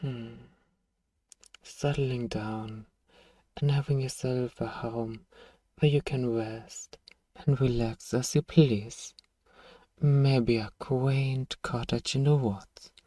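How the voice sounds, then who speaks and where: A man acts out a powerful male vampire in an ASMR roleplay. He speaks slowly in a dark, mellow tone, suave and condescending, coaxing and commanding by turns. The voice sits a little away from the mic, with very little room sound. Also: mid-range voice, fairly even intonation, no echo, recorded from a distance. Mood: hypnotic soothing. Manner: theatrical, commanding, softly menacing, condescending. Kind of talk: ASMR roleplay, scripted hypnotic monologue.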